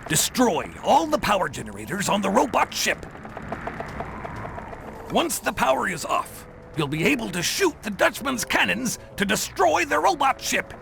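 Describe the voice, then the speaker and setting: A man voicing a crusty, hearty old crab sea captain in a cartoon game, gravelly and salty. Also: high-pitched, huge pitch swings, normal speed, over music, heavily acted. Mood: instructing. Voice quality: gravelly, growling. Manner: salty seafaring bluster. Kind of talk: cartoon game character acting.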